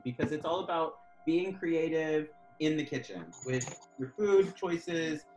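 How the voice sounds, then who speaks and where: A man in his thirties hosting a cooking chat over a video call, talking in a relaxed, conversational way. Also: fairly high pitch. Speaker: host. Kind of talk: casual chat while cooking. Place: home kitchen, indoors. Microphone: video call webcam. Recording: echoey kitchen, faint music.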